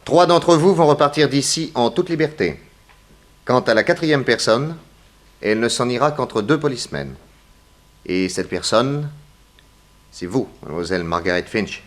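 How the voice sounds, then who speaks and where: A man playing a shrewd, authoritative detective in a 1960s French radio mystery, addressing suspects with deliberate, theatrical command. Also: medium pitch, lively intonation, natural voice. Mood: accusing, commanding.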